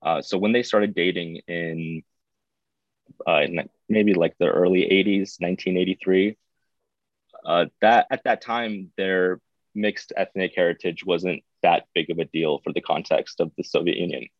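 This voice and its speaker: A man, talking as an interview guest in an unhurried way with frequent filler sounds. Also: deep voice, fairly even intonation, dry recording. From born in Baku, raised in the United States. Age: 30s. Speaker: podcast guest. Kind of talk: casual interview. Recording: dull, dry sound.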